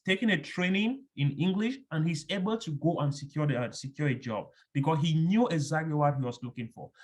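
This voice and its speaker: A man hosting an online orientation, steadily moderating a video call and handing out the floor. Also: fairly high pitch.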